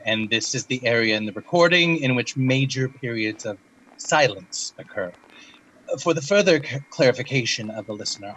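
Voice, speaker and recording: An actor playing a formal, methodical researcher annotating a tape in a precise, clinical way for an audio drama. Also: male voice, mid-range voice, a bit bright, slight background noise, natural voice, poor audio.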